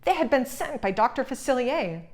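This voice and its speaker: A woman reads a children's storybook aloud. Her pitch sits in the middle for a woman, and she delivers scripted narration. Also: sing-song, normal speed, darkish tone. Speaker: storybook reader.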